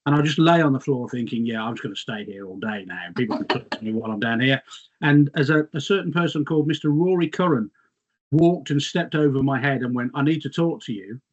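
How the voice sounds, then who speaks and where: A man's voice: mid-range voice, fast, dull audio. A middle-aged English man, a guest, slightly losing his voice, reminiscing in a rambling, self-mocking way. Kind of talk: casual reminiscing chat.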